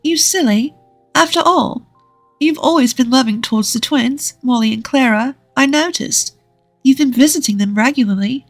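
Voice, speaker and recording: A woman voicing a gentle, cheerful young wife in a narrated story, with a comforting, lightly teasing manner. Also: high voice, moderate pace, no echo, soft background music, voice pushed up. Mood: reassuring, kind. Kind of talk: acted dialogue in a narrated story.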